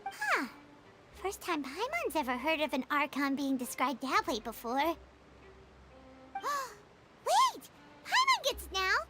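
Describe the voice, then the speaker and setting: A woman's voice: very high voice, wildly swooping pitch, slow, long pauses, heavily acted. A woman voicing a tiny, chatty, curious fairy companion who talks about herself in the third person, with game music underneath.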